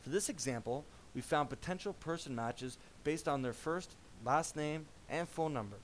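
Man narrating a software demo, faint hiss. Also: fairly high pitch, rough recording. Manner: measured explanatory presenter tone.

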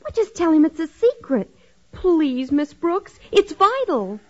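A woman's voice: very high-pitched, very animated, a little slow, heavily acted. An actress playing an eager, pleading, melodramatic American schoolgirl in 1950s radio comedy style. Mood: pleading, dramatic. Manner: gushing, dramatic. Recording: dull band-limited 1950s radio recording.

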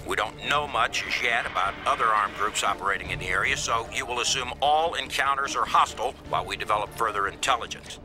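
A commanding male lieutenant colonel, played by an actor, delivers a video game mission briefing. He is businesslike and brisk, at a middle pitch, with music clearly behind him. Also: close to an everyday voice. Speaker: military commander.

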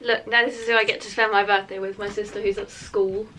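A woman's voice: medium pitch, little pitch movement, quick pace, bright, forceful tone. A twenty-year-old woman with a British accent, chatting informally to camera as a vlogger, often saying "like". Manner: informal and chatty, heavy use of "like". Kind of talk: casual get-ready-with-me chat to camera. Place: small room at home, indoors. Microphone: camera a metre or so away.

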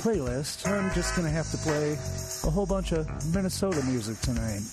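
Loud music plays under a man working as a guest radio DJ. His voice is slightly high, and he presents in a casual, chatty style. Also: animated, brisk. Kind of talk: casual music show hosting.

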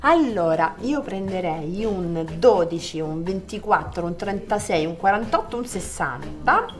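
A young woman with a Roman accent performing a comic sketch in an animated, slangy, theatrical way. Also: on the low side, unhurried. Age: young adult. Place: small restaurant dining room, indoors. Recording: background music under the voice.

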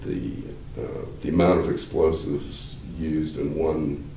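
An older man, a law professor, explaining at length in a measured, halting way with many fillers, seated in a wood-paneled room. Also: rumbling low, flat delivery, warm tone. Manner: gestures with hands while talking. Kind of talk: explanatory interview answers. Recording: roomy, slightly distant, faint hiss.

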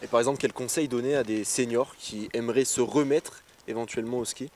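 A show host interviews a guest outdoors on a snowy ski slope, holding a handheld mic and reading from notes. The questions come quickly, in long run-on phrases. Faint noise sits behind the voice. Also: mid-range voice, fairly even intonation, darkish tone.

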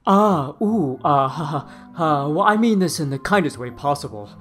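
A man's voice: on the high side, lively intonation, normal speed, a bit bright, soft background music. A man voicing a timid, apologetic young man in a cartoon fan dub, nervous and hesitant. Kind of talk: animated series fan dub.

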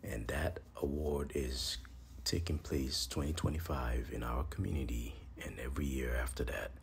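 A man hosting a podcast, giving a promotional monologue in a deliberate, portentous way with emphatic pauses. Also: extremely low pitch, vocal fry. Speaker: podcast host. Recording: noticeable background noise.

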